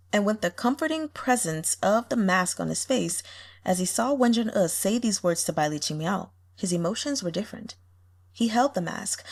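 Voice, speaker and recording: A woman reading a fantasy novel aloud as expressive scripted narration, with a slightly husky voice. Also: mid-range voice. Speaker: audiobook reader.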